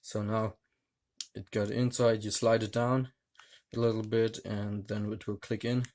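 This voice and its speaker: A man reviewing a gadget hands-on, talking in a hesitant, halting way with many fillers. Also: lowish voice, little pitch movement, a little mellow. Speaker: tech reviewer. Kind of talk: hands-on product demonstration.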